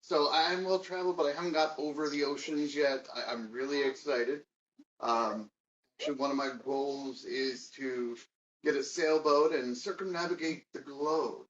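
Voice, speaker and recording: Dating coach, 40s guy, halting speech, dull far-off mic sound. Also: on the high side, steady tone, fairly bright tone. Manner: halting, stammering restarts. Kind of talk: casual conversation. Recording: dull, distant mic, light noise.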